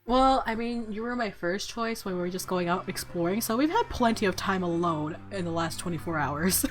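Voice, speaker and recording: Excitable girl streamer reading out a game and reacting, giggly, medium pitch, soft music behind. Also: normal speed. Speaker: gaming streamer. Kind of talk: playthrough commentary and narration.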